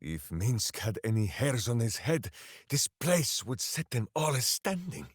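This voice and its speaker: A man's voice: medium pitch, very animated, a little slow, breathy edge, no echo, clean studio sound. A man voicing a big-hearted, simple-minded heroic warrior in a video game, bombastic and earnest, speaking of himself in the third person. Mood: earnest, puzzled. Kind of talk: video game character voice acting.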